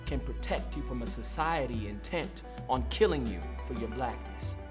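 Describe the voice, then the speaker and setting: A man's voice: mid-range voice, animated, moderate pace, over music, lightly acted. An actor playing a solemn man delivering a grave warning in a filmed dramatic reading.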